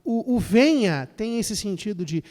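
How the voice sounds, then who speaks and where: A man's voice: sits high, animated, unhurried, a little mellow. A man interpreting a lecture phrase by phrase, often starting with fillers and repeated words. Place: lecture hall. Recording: long hall reverb.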